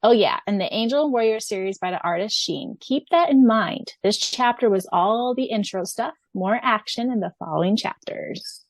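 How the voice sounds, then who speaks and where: A woman's voice: mid-range voice, moderate pace, no room sound. A woman co-hosting a fan podcast in casual chat, gushing and giggly in her enthusiasm. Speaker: podcast co-host.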